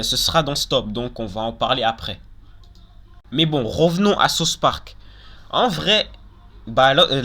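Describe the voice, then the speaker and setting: A man's voice: on the high side, bright, forceful tone. A young man hosting a casual countdown video, talking informally and giving opinions, often repeating and qualifying himself. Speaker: video host.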